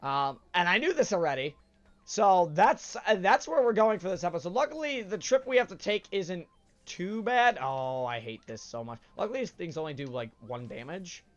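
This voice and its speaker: A man's voice: high voice, big pitch swings, moderate pace, lo-fi sound. A man with a stuffed-up nose from a cold, rambling through casual gameplay commentary as the host. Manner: chatty, rambling, frequent uhs and self-corrections. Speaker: let's play host.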